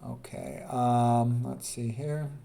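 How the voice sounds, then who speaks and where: A man's voice: medium pitch, steady tone, slow, gravelly, warm tone, slight background noise. Emphatic man giving an informal health talk. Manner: emphatic, opinionated, repeats points for stress. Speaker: physician presenter. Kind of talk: informal health lecture, reading quotes aloud with commentary.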